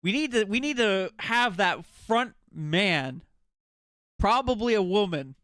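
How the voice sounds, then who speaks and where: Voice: medium pitch, lively intonation, takes it slowly, a bit bright, no echo, clean studio sound. A game streamer chatting casually and jokingly with viewers, playful and given to swearing. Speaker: game livestreamer.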